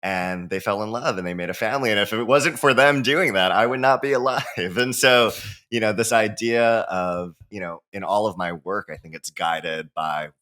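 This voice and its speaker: A low-pitched man from Hawaii talks with a bright, forward edge to his voice. He is a guest author in a relaxed interview. His delivery is animated and full of "you know"s, and he breaks into laughter now and then. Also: normal speed. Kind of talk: casual interview talk.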